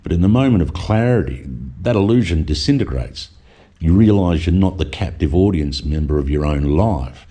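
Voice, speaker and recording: Man, very low voice, dark and husky, measured reflective narration with dry humour. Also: animated. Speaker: vlogger narrator. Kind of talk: reflective scripted voice-over.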